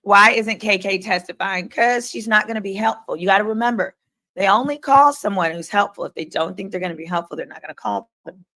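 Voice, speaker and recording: A woman's voice: medium pitch, brisk, sharp tone. A woman hosting a casual livestream, giving informal, opinionated commentary and reading comments and updates aloud in a conversational way. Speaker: livestream host.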